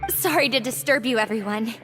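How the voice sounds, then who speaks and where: An actress playing a polite, gentle young woman in love, a deferential prisoner in a video game. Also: a little high, fairly quick, over music, close to an everyday voice. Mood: polite, hopeful.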